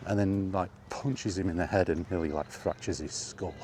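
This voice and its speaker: Male vlog host, deep-pitched, conversational and casual, talking straight through with asides, faint ambient noise in the background. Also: fairly even intonation, normal speed, darkish tone. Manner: conversational, rhetorical questions and asides. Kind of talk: casual film chat monologue.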